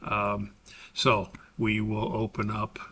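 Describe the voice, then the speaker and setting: Bright-voiced American man teaching a tutorial. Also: fairly low pitch, completely flat delivery, slow, slight background noise. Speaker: course instructor recording a tutorial for his class. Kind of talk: informal screen-recorded software tutorial, explanatory.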